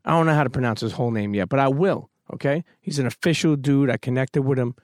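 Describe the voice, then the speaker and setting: A man's voice: medium pitch, fast, darkish tone, no echo, studio quality. A man hosting a podcast, giving an emphatic motivational talk full of casual swearing before welcoming his guest. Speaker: podcast host.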